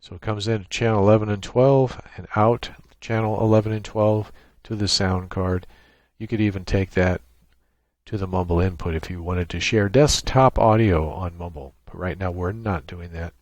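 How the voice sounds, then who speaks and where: A man presenting an unscripted screen-share software tutorial in a halting, thinking-aloud way. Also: low voice, steady tone, no room sound, dull audio.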